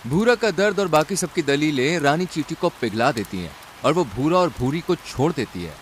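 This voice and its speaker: A man's voice: on the high side, lively intonation, moderate pace. A man narrating a cartoon story in a dramatic scripted style. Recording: rain and outdoor noise behind.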